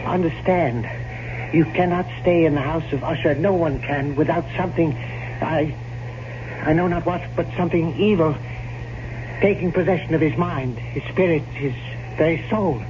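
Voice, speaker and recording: An actor playing an old, loyal, foreboding family servant in a radio horror drama, formal, solemn and pleading. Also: male voice, a little high, moderate pace, close to an everyday voice. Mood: ominous, pleading. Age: older. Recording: noisy, muffled old radio drama recording.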